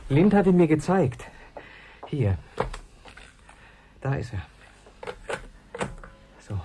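An actor playing an urgent, secretive scientist's assistant in a radio play, earnest and persuasive, with a husky voice. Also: male voice, on the high side, sing-song, extremely slow, noisy background, exaggerated voice. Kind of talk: German radio play acting.

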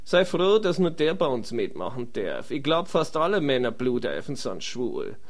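A man's voice: medium pitch, sing-song, normal speed. A man with a Viennese accent voicing a streetwise, grumbling rogue adventurer in a comedy fantasy audio drama. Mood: resigned, grumbling.

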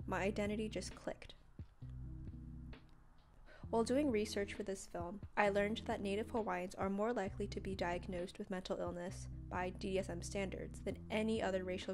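A young woman from San Francisco, narrating her student documentary in a steady, earnest scripted read. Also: mid-range voice. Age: young adult.

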